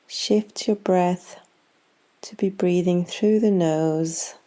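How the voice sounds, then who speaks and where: A woman guiding a yoga nidra meditation in a calm, soothing, unhurried manner with long pauses. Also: on the low side. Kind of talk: guided meditation.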